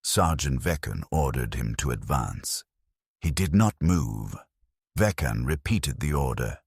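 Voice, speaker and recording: A man narrating a dark sci-fi story as a grim, hardened penal legion soldier, solemn and measured in delivery. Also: extremely low pitch, animated, creaky, husky, no room sound, clean studio sound, full character voice. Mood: grim, weary.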